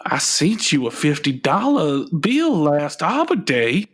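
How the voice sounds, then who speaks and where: An actor playing a whiny, sulky adult son, a struggling musician, in a comedic radio play, with a plaintive, halting delivery. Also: male voice, fairly high pitch, big pitch swings, breathy edge, exaggerated voice. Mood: defensive, glum.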